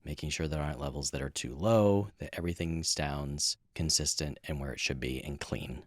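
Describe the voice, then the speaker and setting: Male podcast co-host, low register, informal explainer style, dry home-studio sound. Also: normal speed, dry recording. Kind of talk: casual co-host chat. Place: home room padded with blankets and rugs.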